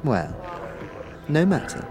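A man voicing a tiny, energetic man in his nineties for an audio drama, courtly and archaic, hemming and repeating himself. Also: on the high side, takes it slowly, music in the background, pitched above the performer's own voice. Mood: polite, puzzled. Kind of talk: audio drama character acting.

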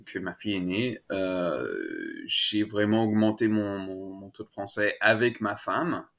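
A man's voice: lowish voice, fairly even intonation, measured pace, fairly bright tone. A man from France, a father as interview guest, chatting casually with many fillers, restarts and laughs. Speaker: guest, French-speaking father.

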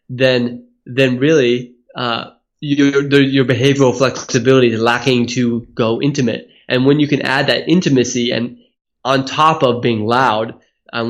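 A man from Hawaii talks as an interview guest, earnest and thoughtful, working through his points about speaking and leadership. He explains at length in a mid-pitched voice. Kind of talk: reflective interview answers. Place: Australia.

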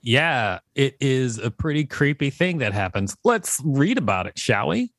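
A man's voice: mid-range voice, very animated, unhurried, a bit bright. A man co-hosting a podcast with casual, conversational commentary. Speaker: podcast co-host. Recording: music behind the voice. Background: music.

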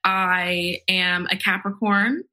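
A woman's voice: on the low side, fairly even intonation, takes it slowly, bright, forceful tone, rough recording. A young adult woman guest chatting in a playful, giggly way. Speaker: social media coordinator, podcast guest. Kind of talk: casual chat.

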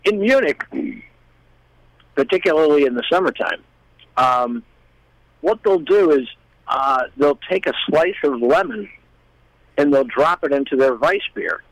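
Guy just chatting, kinda higher voice, halting and rambly explainer, no room sound. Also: animated, dry recording, rough recording. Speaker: guest, musician. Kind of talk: casual chat.

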